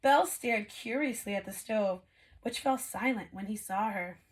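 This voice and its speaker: A woman who teaches kindergarten prep, reading a storybook to young children in an expressive, animated way. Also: mid-range voice, moderate pace. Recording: clear background noise.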